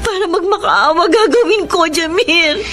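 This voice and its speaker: A woman's voice: very high-pitched, moderate pace. A woman playing a desperate pregnant young woman in a radio drama, tearful and pleading. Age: young adult. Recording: radio drama with music behind.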